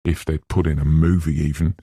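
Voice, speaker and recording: A man as a sullen, low-voiced diner girl. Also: little pitch movement, fairly quick, warm tone, breathy edge, dry recording, clean studio sound, exaggerated voice. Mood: sullen scorn. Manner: curt, weary, dismissive. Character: bored, sullen small-town diner waitress.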